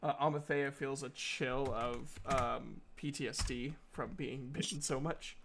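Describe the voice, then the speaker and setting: Slow-talking man running a tabletop game. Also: medium pitch, steady tone, gravelly, a bit bright, slight background noise. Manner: halting, informal, slangy. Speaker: game master. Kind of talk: casual tabletop game narration.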